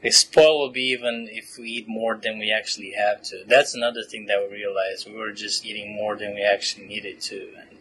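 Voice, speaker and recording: A man in his late twenties from Romania, a graduate student answering interview questions in a relaxed, chatty way with frequent fillers and laughs. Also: fairly low pitch, brisk, hardly any pauses, slight background noise, poor audio. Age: 20s. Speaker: podcast guest, PhD student.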